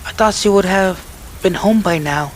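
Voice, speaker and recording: Man putting on a high, gentle, shy girl. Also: moderate pace, slight background noise, lightly acted. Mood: gently chiding. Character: gentle, shy, caring teenage girl, sweet animal lover.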